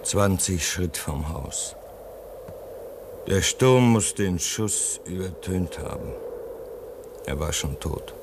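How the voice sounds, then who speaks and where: On a noisy old radio play recording, a rugged, practical man of the polar ice. His delivery is earnest and dramatic, with widely varied pitch at a slow pace. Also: animated, creaky, full character voice. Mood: hushed, grieving. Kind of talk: German radio play acting.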